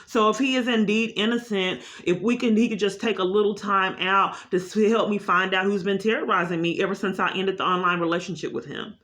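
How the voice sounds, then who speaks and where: A woman's voice: mid-range voice, steady tone, brisk, hardly any pauses, fairly bright tone. A woman caller speaking unscripted in an insistent, long-winded complaint, often repeating herself.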